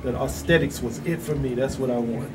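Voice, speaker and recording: A man co-hosting a casual radio show, reacting with enthusiastic hype and quick questions. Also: mid-range voice. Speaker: radio co-host. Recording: distant mic with background noise.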